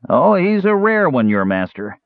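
A man voicing a shrill, scheming male dwarf slave-owner who snarls, sneers and cackles. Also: very animated, normal speed, no echo. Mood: gloating. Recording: dry, phone-like narrow band.

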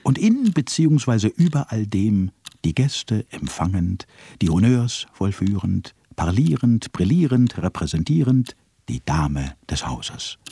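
A man's voice: on the low side, big pitch swings, measured pace, creaky, warm tone, husky, exaggerated voice. A man narrating a radio drama with ornate, slyly ironic phrasing. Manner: ornate, ironic listing delivery.

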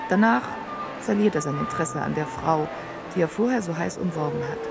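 Low, creaky, knowing woman. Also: brisk, over loud music. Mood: reflective, knowing. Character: well-read woman musing wryly on seducers with a friend. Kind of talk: conversational radio play dialogue.

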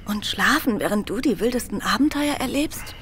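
A soft-spoken, loving wife. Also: female voice, on the high side, lively intonation, moderate pace. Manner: soft, affectionate. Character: affectionate, curious wife of a detective. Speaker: detective's wife. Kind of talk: radio play acting. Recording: noisy radio play recording.

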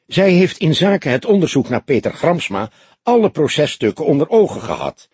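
A hot-headed young police detective played by a male reader for an audiobook. He argues with stubborn, indignant emphasis in a raised, swooping pitch, with a very husky, breathy edge. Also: big pitch swings, normal speed, darkish tone, no room sound. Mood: stubborn, emphatic. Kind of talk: audiobook character voice.

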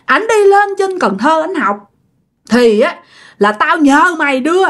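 A vengeful female ghost, acted by a woman storyteller, with a high, wildly swooping Southern Vietnamese voice. She is jealous and bitter, hammering repeated accusations and curses at the one who betrayed her. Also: very animated, normal speed, fairly bright tone, husky, full character voice. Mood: accusing, anguished. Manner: accusing, repeats phrases insistently, bursts of menacing laughter.

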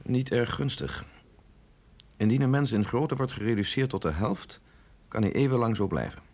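An actor playing a sceptical, authoritative, middle-aged male scientist in a radio drama, explaining in a measured, insistent way. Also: mid-range voice, a little mellow, close to an everyday voice. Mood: grave, explaining. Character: sceptical, authoritative male scientist briefing an agent, no longer young. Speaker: scientist doctor.

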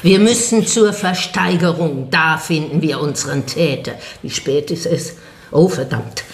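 A bold, scheming village woman. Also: low voice. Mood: urgent. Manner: brisk, urgent, conspiratorial. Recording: roomy radio drama scene.

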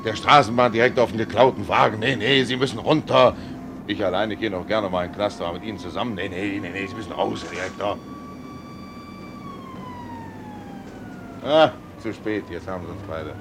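Genial rogue tram driver for radio drama, male actor: lowish voice, folksy, soothing chatter, clear vehicle noise. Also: fast, close to an everyday voice. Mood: urgent. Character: good-natured rogue tram driver, passionate, resigned to jail. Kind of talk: German radio play acting. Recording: clear vehicle rumble and ambience.

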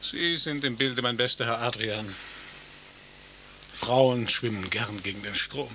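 An actor playing a plaintive, ironic gentleman gourmet with a theatrical, courtly and mock-solemn manner in a German radio play. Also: mid-range voice, a little slow, a little hiss or hum. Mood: courtly irony. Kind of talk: German radio play, stylised conversation piece.

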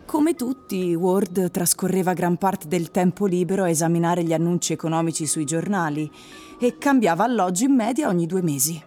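A woman narrator reading literary prose for a radio drama in a measured storytelling manner. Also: lowish voice, warm tone, faint music behind.

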